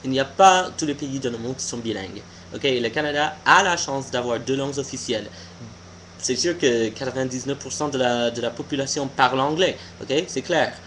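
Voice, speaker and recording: A young American man, a native English speaker, giving an informal opinion monologue haltingly, searching for words. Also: medium pitch, fairly bright tone, slight background noise. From United States. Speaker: video blogger.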